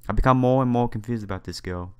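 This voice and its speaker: A man reading visual novel text aloud as a let's player, adding his own asides in halting phrasing. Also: mid-range voice, dark tone, smooth. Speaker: video game let's player. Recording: music behind the voice. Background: game music.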